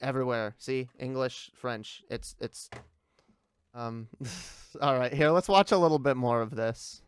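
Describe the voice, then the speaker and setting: A man with a smooth, clear voice of middling pitch, recorded dry and close. He talks as a livestream host reacting off the cuff, rambling, repeating himself and swearing casually. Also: measured pace, no echo. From Canada. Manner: rambling, repetitive, liberal use of "like" and swearing.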